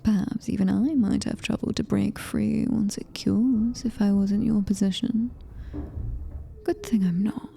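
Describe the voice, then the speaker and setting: A woman performs a predatory alien-human hybrid female in a horror ASMR roleplay, speaking very slowly in a British accent. Her voice is dark and soft, with a creaky edge, sliding into breathy whispers. She is possessive, seductive and quietly commanding. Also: mid-range voice, steady tone, slight background noise. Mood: possessive reassurance.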